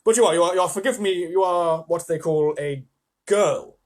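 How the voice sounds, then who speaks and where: A man voicing an excitable, courteous fawn with a flustered, effusive, old-fashioned politeness in a storybook reading. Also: high voice, normal speed, close to an everyday voice.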